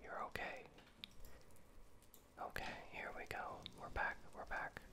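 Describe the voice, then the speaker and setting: A man whispering gameplay commentary in an ASMR style, talking himself through tense moments and repeating words when he panics. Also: a little slow. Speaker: gaming video creator.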